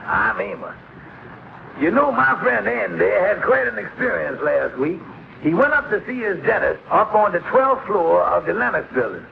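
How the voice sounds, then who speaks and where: A man's voice: sits high, sing-song, normal speed, gravelly, exaggerated voice. A man playing a friendly storyteller who introduces his friend's misadventures in a 1950s American sitcom. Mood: genial storytelling. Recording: noisy, phone-like vintage TV soundtrack.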